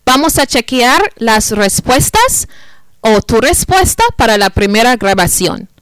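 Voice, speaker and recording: A woman running a bilingual classroom lesson, her voice on the high side and full of melody. She talks slowly and clearly, saying each point in Spanish and then again in English, and the sound is dry and close. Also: animated, fairly bright tone, no room sound. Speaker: teacher.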